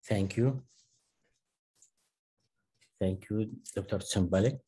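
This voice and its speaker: A man speaks on a video call from a small office, wearing earphones. His voice is very low and dark. He is polite and a little hesitant. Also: slow pace, slightly rough. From Iraq. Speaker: neurosurgeon, webinar speaker. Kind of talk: conference discussion.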